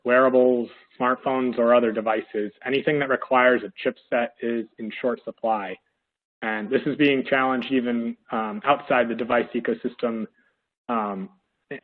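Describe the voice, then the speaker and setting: Hoarse male analyst on a tinny line. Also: mid-range voice. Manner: steady, measured, with frequent fillers. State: hoarse from a head cold. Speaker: industry analyst presenting a webinar. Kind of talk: presenting a business webinar. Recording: tinny, phone-like audio.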